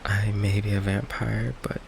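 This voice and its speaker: A man playing a shy, affectionate vampire boyfriend in an intimate ASMR roleplay, speaking softly and haltingly with rain behind him. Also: on the low side, little pitch movement. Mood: tender, earnest. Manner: soft, hesitant, halting, breathy sighs and chuckles. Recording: faint noise, rain ambience behind. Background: rain ambience.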